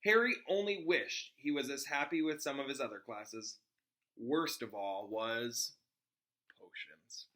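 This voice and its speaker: A man with a bright, crisp-edged tone at a middling pitch reads a children's novel aloud like a classroom teacher. His delivery is informal, moving between narration and casual explanations for his listeners. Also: measured pace. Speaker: teacher reading aloud to students.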